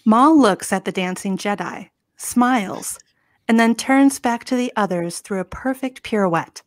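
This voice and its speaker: A woman's voice: medium pitch, a little slow, no room sound, studio quality. A woman reading scripted stage directions as narrator of a comedy table read. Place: home room. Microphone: home video call.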